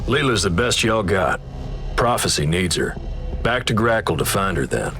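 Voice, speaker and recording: An actor voicing a grim, folksy Wild West narrator in a video game, with an American Western drawl and terse, ominous phrasing. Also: male voice, lowish voice, very animated, moderate pace. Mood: terse, wary. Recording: loud music behind the voice. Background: loud game music.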